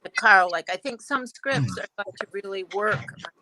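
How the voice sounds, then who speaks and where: A woman's voice: medium pitch, expressive, pushed and bright, slight background noise, low-quality recording. A woman speaking as a panelist on a video call, thinking her answers through and often repeating words as she goes. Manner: halting, thoughtful, repeats words. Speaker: playwright panelist.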